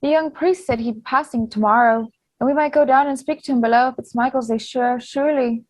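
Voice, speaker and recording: A woman playing a gentle young peasant girl, a younger sister, in a stage play. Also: high-pitched, steady tone, a little fast, dry recording, low-quality recording. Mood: anxious. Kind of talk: stage drama read as virtual play.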